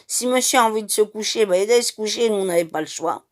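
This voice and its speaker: A middle-aged woman from Madeira telling her life story in an unscripted, hesitant way, with many pauses and restarts. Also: medium pitch. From Madeira, Portugal. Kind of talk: personal testimony, unscripted.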